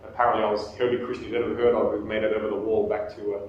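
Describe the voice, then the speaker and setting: A man's voice: on the low side, flat delivery, rapid-fire, lo-fi sound, slight background noise. Man speaking in an echoey room. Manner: conversational, self-deprecating humor, frequent restarts. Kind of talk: live talk with questions. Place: small meeting room, indoors. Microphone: far camera on table. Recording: echoey room, distant camera mic.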